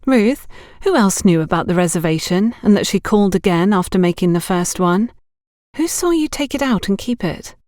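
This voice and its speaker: A woman's voice: mid-range voice, expressive, normal speed, a little mellow, dry recording, studio quality, natural voice. Woman acting an anxious young colleague. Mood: questioning.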